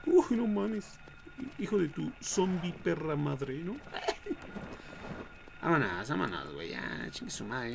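Young male Mexican streamer, jokey and crude, fry-heavy voice, casual play-by-play over faint noise. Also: a little high. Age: young adult. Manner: slangy, foul-mouthed, jokey. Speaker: livestream gamer. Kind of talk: casual game livestream commentary.

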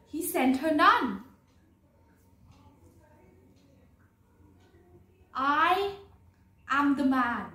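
A young woman acting a bewildered, lovestruck heroine disguised as a man in a Shakespearean monologue, theatrical, with long pauses. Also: high voice, pushed and bright, exaggerated voice. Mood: astonished. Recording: echoey room, distant microphone.

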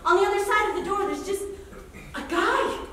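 Woman playing frustrated defiant young theater maker, stage reading, very high pitch, distant echoey recording. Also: fairly quick, sharp tone, a little hiss or hum. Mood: fed up. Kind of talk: staged play reading, argumentative monologue. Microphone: far camera.

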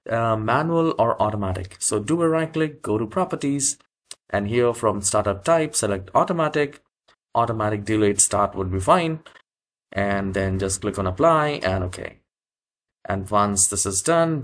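Low-pitched man narrating a tech tutorial. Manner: instructional, repeats key steps. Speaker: tutorial host. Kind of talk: step-by-step software tutorial narration.

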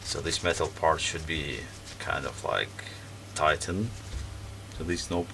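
Low gravelly man talking while tinkering. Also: slow pace. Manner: hesitant, many ums and restarts while working. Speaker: repair video host. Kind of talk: casual hands-on repair commentary. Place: workbench, indoors. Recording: distant mic with background noise.

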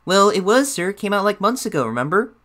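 A man's voice: sits high, sing-song, quick pace, a bit bright. A man voicing a deferential, slightly unsure shadow bear sidekick who gives reaction commentary. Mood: mild, deferential. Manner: deferential, hesitant, obliging.

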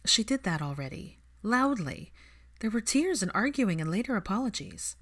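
A woman voicing a dry, guarded but quietly happy young woman with clipped, wry replies in an audiobook. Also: mid-range voice, sing-song, normal speed, voice pushed up. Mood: wry, flustered.

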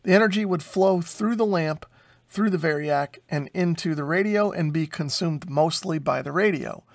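A man's voice: fairly high pitch, moderate pace, creaky. An American man in his forties giving an informal technical tutorial in a methodical, explanatory way. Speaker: electronics repair video host. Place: small unfinished basement workshop.

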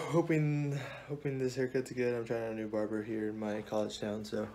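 A man's voice: medium pitch, level tone, normal speed, warm tone. A young man chatting casually and informally to camera as a vlogger, recorded at arm's length. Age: young adult. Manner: slangy, informal. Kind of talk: casual vlog chat. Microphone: phone or camera at arm's length. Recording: noisy handheld camera recording.

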